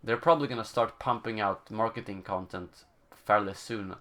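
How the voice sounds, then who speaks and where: A man's voice: on the low side, bright, forceful tone. A young man from Sweden with a Swedish accent, answering viewer questions on a gaming live stream and often searching for words. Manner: halting, searching for words, many fillers. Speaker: gaming channel host. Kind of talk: live stream Q&A, casual chat.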